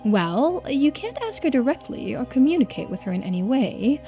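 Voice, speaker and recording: Mellow-voiced woman reading game dialogue. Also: high-pitched, big pitch swings, moderate pace, soft background music. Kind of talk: voiced playthrough of a visual novel, reading character dialogue.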